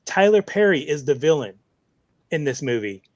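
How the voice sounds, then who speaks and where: A man's voice: fairly high pitch, very animated, moderate pace, dry recording. A man co-hosting a casual chat, talking hesitantly and searching for his words. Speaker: podcast co-host.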